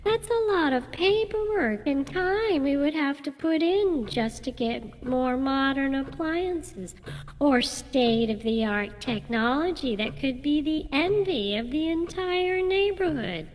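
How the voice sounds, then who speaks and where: Woman as scheming indignant wife, high voice, very unhurried, theatrical emphasis, radio comedy, reverberant. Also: animated, slight background noise. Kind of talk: amateur radio comedy drama acting. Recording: long hall-like reverb.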